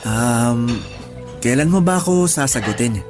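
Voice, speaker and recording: A man performing a smitten restaurant manager in a Filipino radio drama. He has a Filipino accent and a mid-pitched voice, and speaks sincerely, with gentle, pleading persistence. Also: animated, a little slow, faint music behind, lightly acted. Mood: shy, coaxing.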